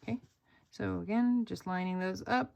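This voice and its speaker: A woman's voice: mid-range voice, fairly even intonation, normal speed, gravelly, darkish tone. Chatty woman giving a sewing tutorial. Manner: chatty, upbeat, many fillers like "like" and "oop". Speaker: sewing pattern designer teaching a tutorial. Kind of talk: casual step-by-step sewing tutorial.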